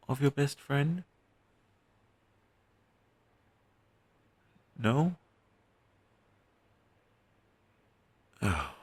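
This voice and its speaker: A man playing a pessimistic zombie best friend with dark sarcasm, speaking haltingly in an intimate audio roleplay. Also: sits low, vocal fry, breathy edge, dry recording, full character voice. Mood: wary, self-loathing. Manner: halting, sighing, sardonic delivery with trailing hesitations.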